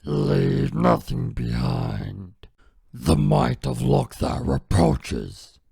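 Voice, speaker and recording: A man voicing a grim, merciless warlord with a raspy voice, solemn, menacing and vengeful. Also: mid-range voice, huge pitch swings, drawn-out words, noisy background, heavily acted.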